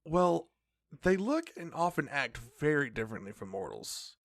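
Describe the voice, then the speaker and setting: An actor playing a cautious, nervous magical companion in an audio drama, creaky and admonishing. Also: medium pitch, big pitch swings, moderate pace, no echo, studio quality. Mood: cautious, instructive. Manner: wary, admonishing.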